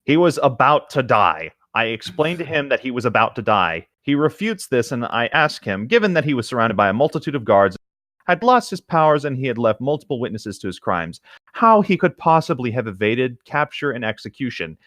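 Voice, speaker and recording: Guy reading gaming horror stories out loud, casual n kinda exasperated, really animated, no room sound. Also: mid-range voice, brisk, no echo, studio quality. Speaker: host reading online stories aloud. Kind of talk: casual read-aloud of a tabletop gaming horror story.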